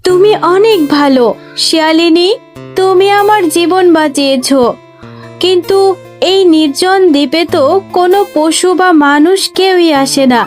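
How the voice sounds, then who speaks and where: A woman's voice: very high voice, moderate pace, soft background music. A woman voicing a proud, good-hearted fairy in a children's fairy-tale cartoon. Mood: grateful. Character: defiant female fairy guarding her magic wand, grateful.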